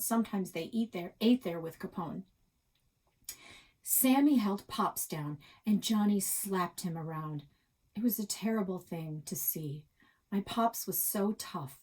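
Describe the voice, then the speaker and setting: Husky woman reading a story aloud. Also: medium pitch, a little mellow, low-quality recording. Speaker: librarian reading a children's novel aloud. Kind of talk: expressive read-aloud storytelling.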